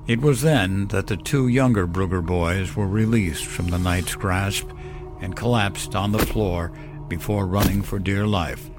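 Slow, low-voiced man narrating over music. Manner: measured, solemn, deliberate storytelling. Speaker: narrator of a horror fiction podcast. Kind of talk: scripted horror story narration. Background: music bed.

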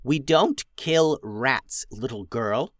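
A man's voice: mid-range voice, slow pace, fairly bright tone, no echo, clean studio sound. A man voicing an old female goblin leader in a storybook reading, shrewd and needling as she fires wry questions. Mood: scolding. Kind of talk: storybook character voice.

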